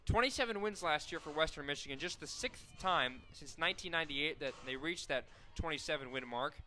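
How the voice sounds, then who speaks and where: Bright-voiced radio play-by-play man. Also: on the high side, brisk, rough recording. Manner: steady, fact-filled call that rises sharply on big plays. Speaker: play-by-play announcer.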